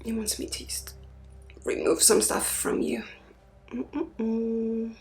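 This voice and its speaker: A woman from Sweden in soft-spoken ASMR as a spiritual energy healer, drifting between a murmur and a breathy whisper. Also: a little high, completely flat delivery, slow pace. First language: Swedish.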